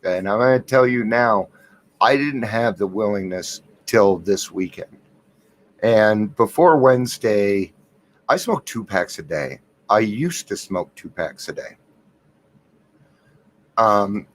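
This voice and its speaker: Man, low-voiced, hosting a casual livestream; soft and worn out, rambling and self-deprecating. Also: lively intonation, slow pace. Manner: rambling, candid, self-deprecating, many restarts; talking softly on purpose. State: unwell and worn out. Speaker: livestream host of a recovery reflection. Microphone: computer mic with gain turned up.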